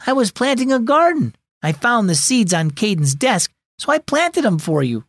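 A man's voice: very high voice, very animated, moderate pace, fairly bright tone, dry recording, clean studio sound, pitched above the performer's own voice, exaggerated voice. A man voicing a small, stubborn little sister who answers back defiantly, in a children's storybook reading. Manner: defiant, matter-of-fact.

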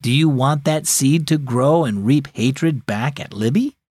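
A man voicing a calm, patient mother who gently teaches her daughter, in a children's storybook reading. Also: medium pitch, unhurried, slightly rough, no echo. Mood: gentle.

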